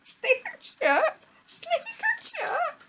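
A woman's voice: very high-pitched, wildly swooping pitch, extremely slow, noisy background, voice pushed up, exaggerated voice. A woman voicing a small female bird in a children's story, teasing, chirpy and full of giggles. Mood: giggly chirping.

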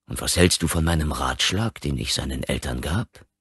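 A man's voice: a bass voice, moderate pace, a bit bright, slightly rough, dry recording, studio quality, heavily acted. A man voicing an anxious but brave family doctor in a horror audiobook, uneasy and urgent. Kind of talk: audiobook character acting.

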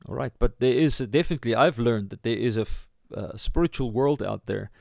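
A man with a South African accent giving an informal sermon as a pastor, halting as he searches for words. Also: mid-range voice, gravelly, a little mellow, dry recording, studio quality. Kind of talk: informal sermon, Bible teaching.

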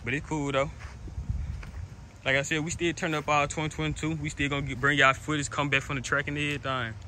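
A man's voice: on the high side, level tone, quick pace, sharp tone. Rambling 19-year-old man outdoors. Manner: rambling, repeats himself, lots of restarts. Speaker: car vlogger. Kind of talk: casual vlog to camera. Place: outdoors in a driveway beside a car. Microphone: phone held at arm's length. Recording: outdoor phone audio with background noise.

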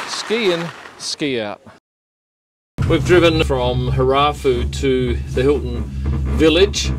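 A man's voice: on the high side, background noise. An Australian man in his sixties giving chatty travel vlog narration. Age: 60s. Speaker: travel vlogger.

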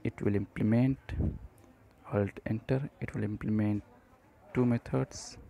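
A man narrating a step-by-step programming tutorial, speaking haltingly in short phrases. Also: lowish voice, steady tone, gravelly, dark tone.

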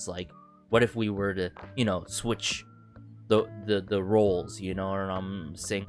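A young man with a fairly low voice reviews a track in loose, casual chat. He is animated and fills his talk with little asides. He is close to a boom mic in a small bedroom, with faint music playing under his voice. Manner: animated, thinks aloud with many fillers like "you know" and "right". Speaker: music reaction channel host. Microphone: boom mic close to the face, headphones on.

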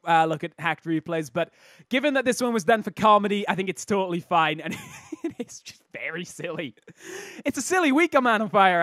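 Guy doing casual game commentary, kinda high slightly croaky voice, jokey, keeps cutting himself off, dry close mic. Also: fairly bright tone, no echo. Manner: jokey, giggly, self-interrupting. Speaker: host of a game replay showcase.

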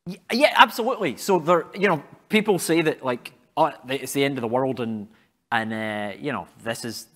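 A man's voice: medium pitch, moderate pace. A man in his thirties speaking as a climate scientist on a live panel, rambling with many fillers and waving his hands as he talks. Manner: rambling, many fillers, animated hand gestures. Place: stage at an event hall.

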